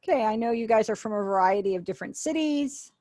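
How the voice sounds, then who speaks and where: A woman's voice: a little high, expressive, fast talker, mellow, no echo, clean studio sound. A woman giving an online sign language lesson over a webinar call, patiently explaining and acting out example phrases. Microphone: webinar video call.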